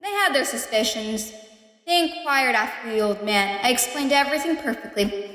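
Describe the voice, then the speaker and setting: A woman as high-voiced unhinged guilty murderer in echo. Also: animated, moderate pace, a bit bright. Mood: uneasy narrating. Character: unhinged guilty murderer narrating, falsely polite, increasingly paranoid. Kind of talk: dramatic first-person horror monologue in radio play. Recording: echoey room with long reverb.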